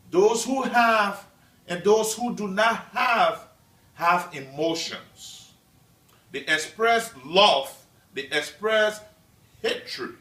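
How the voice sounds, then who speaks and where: Slow, measured man from Liberia. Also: high-pitched, pushed and bright. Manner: slow, measured delivery with deliberate pauses. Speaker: student presenter. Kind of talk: prepared class speech. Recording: echoey room, mic at a distance.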